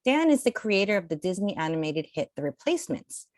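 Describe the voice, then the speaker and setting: A woman reading aloud to children in an expressive, theatrical storytelling style, drawing out key words. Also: medium pitch, brisk, no room sound, clean studio sound. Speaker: children's picture book reader.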